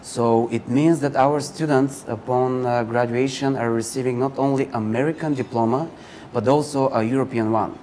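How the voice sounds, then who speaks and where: A man from Bulgaria with a Bulgarian accent, giving informative interview answers as a university recruiter, pausing mid-phrase. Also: medium pitch, steady tone, a little mellow. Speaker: university recruiter and admissions counselor, interview guest. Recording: clear background ambient noise.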